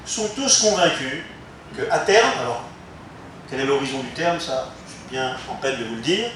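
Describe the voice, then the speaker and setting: A man giving an unscripted explanatory political talk, halting yet emphatic and didactic, posing rhetorical questions. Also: medium pitch, lively intonation, a bit bright. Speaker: local politician speaking on town alliances. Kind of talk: unscripted explanatory speech to listeners. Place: reverberant room, recorded from some distance. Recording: very echoey room, distant mic, faint noise.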